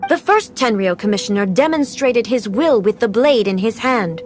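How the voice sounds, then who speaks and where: A woman voicing a cold, imperious almighty ruler in a video game, speaking in formal, measured phrases. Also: a little high, animated, darkish tone, faint music behind, close to an everyday voice. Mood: cold, commanding. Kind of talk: dramatic video game voice acting.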